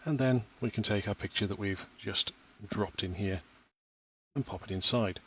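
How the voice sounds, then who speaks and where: A man in his thirties, a parent from Wales, walking through a school software platform step by step in a patient, explaining voice. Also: on the low side, normal speed, highs cut off, slight background noise. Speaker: parent giving a tutorial. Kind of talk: screen-recorded software tutorial.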